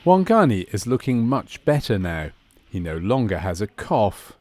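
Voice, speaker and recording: A man reading scripted narration for a medical training film in a measured, clinical way. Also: on the low side, very animated, a little mellow, no echo.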